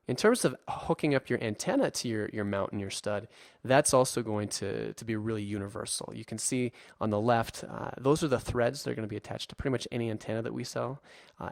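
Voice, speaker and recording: A man's voice: mid-range voice, vocal fry, no room sound, clean studio sound. A man presenting an informal, conversational slide tutorial as an instructor, in a reassuring way. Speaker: instructor and presenter for a radio equipment retailer. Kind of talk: explanatory narration of a slide tutorial.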